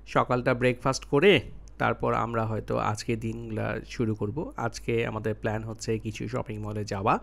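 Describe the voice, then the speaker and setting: A man's voice: on the low side, fairly even intonation, normal speed, gravelly. Man narrating a casual travel vlog. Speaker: travel vlogger.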